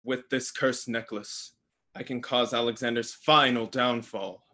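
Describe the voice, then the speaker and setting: A man's voice: mid-range voice, steady tone, a little slow, sharp tone. A man playing a scheming, evil god of the underworld in a comic staged reading, theatrical and sly. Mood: gloating, evil. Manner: theatrical, sly, mock-sweet.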